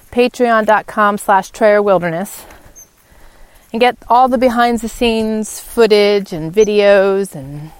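A woman hosts a casual, reflective podcast while out walking. She speaks slowly in a medium-pitched voice, often halting mid-sentence to find her next thought. The delivery is unscripted and personal. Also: clear, resonant tone, no room sound. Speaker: podcast host. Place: outdoors on a walk, in wind.

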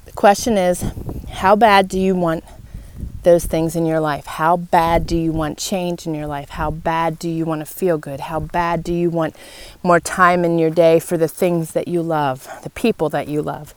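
A woman with a fairly low voice delivers a faith-led motivational monologue as a podcast host. She is earnest and encouraging, leaning on repeated phrases for emphasis. Noise sits clearly behind her voice. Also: little pitch movement, moderate pace. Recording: clearly noisy background.

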